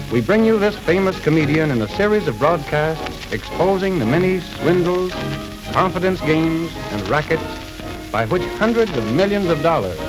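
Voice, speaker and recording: A man's voice: a little high, poor audio. A man giving a formal, declamatory scripted introduction as a 1930s radio announcer, on an old recording.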